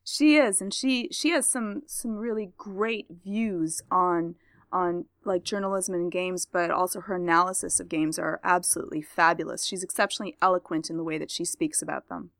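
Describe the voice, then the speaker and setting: A woman from Canada joins a casual podcast chat as a guest. She laughs readily and settles into a steady, thoughtful explaining tone. Her voice is dry and close, with no room around it. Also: fairly low pitch, dry recording. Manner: laughs often, enthusiastic then measured when explaining.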